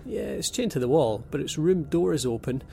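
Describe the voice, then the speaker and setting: A man voicing a dry-humoured young abductee with a gravelly, creaky edge to his voice. Over a prominent music score in an audio drama, he keeps a sardonic calm. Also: on the high side, fairly quick. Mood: wry. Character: wry young man named Paul, abductee.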